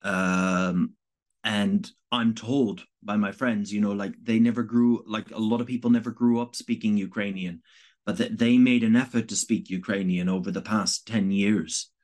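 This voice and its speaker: A man from Canada speaks as an interview guest, his voice sitting a little low. He talks in a halting, reflective way and often pauses to restart a sentence. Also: fairly even intonation, darkish tone. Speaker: interview guest, volunteer evacuation worker. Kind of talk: conversational interview answers.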